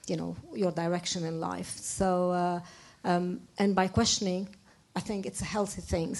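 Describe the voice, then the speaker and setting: A woman with a fairly low voice offers her view at a public discussion. She argues her point firmly in phrasing, restarting and adjusting sentences as she speaks. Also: mellow. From Lebanon. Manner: opinionated, self-correcting, non-native phrasing. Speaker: audience member, architect. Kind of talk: spontaneous comment at a public discussion. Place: arts centre event room.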